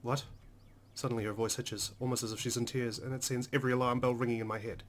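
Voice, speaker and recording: A man's voice: mid-range voice, level tone, fast, a little hiss or hum. A man hosting a let's play, reading visual novel narration aloud in a dramatic storytelling style. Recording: music clearly under the voice.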